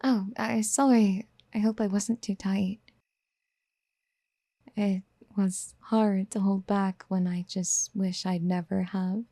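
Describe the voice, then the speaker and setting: A woman playing a doting, obsessive would-be mother in a soft-spoken ASMR roleplay, breathy and often near a whisper, tenderly coaxing. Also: mid-range voice, steady tone, takes it slowly, dry recording. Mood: gentle, hesitant. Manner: tender, coaxing, hesitant pet-name murmuring. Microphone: close microphone in a dry, quiet space.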